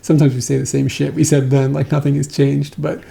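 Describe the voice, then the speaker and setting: Fast-talking gravelly man with a slight lisp. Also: medium pitch, level tone, dark tone. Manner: musing, self-questioning. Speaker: co-host reacting to old footage. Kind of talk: casual reaction chat.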